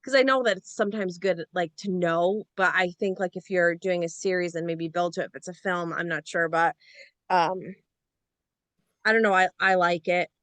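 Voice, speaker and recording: A woman's voice: on the low side, steady tone, moderate pace, a bit bright, no room sound. A woman on a reading panel giving casual feedback, tentative and peppering her sentences with filler words. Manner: tentative, frequent use of "like".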